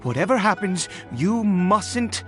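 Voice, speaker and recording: A man's voice: high-pitched, sing-song, takes it slowly, a bit bright, exaggerated voice. An actor playing a desperate, devoted father in a video game, stammering and theatrical in his delivery. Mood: anxious, soothing. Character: desperate, fervent grieving father, fanatically devoted. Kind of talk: dramatic video game voice acting. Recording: background music under the voice.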